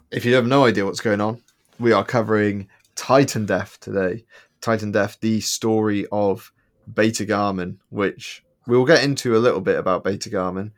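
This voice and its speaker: Low-voiced guy hosting a podcast, rambly, lots of ums, jokey. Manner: rambling, many ums and restarts, joking. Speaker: podcast co-host. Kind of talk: casual podcast chat.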